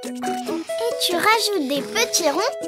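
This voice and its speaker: Tiny childlike cartoon sidekick, extremely high voice, slow delivery, cheerful, helpful. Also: lively intonation, heavily acted. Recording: music behind the voice.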